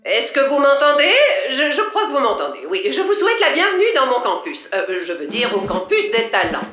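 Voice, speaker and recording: A strict, self-important cartoon school director. She speaks theatrically in a highish voice with lively swoops. The sound is echoey, with faint music behind. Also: a little fast. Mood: pompous welcome. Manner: theatrical, pompous. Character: grandiose, strict woman director of a talent campus. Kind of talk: French-dubbed children's cartoon acting.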